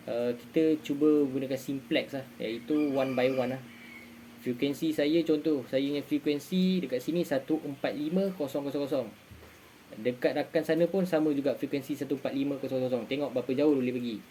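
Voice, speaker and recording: Mellow-voiced Malaysian man explaining casually, some background noise. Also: medium pitch, low-quality recording. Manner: repeats phrases for emphasis, informal tag words. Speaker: amateur radio vlogger giving advice. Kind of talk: casual explainer chat to viewers.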